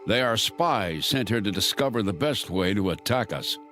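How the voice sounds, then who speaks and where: A commanding, suspicious envoy of an ancient king in scripture drama. His voice is fairly low and very animated, over soft music. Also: moderate pace. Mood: demanding. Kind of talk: dramatized audio Bible acting.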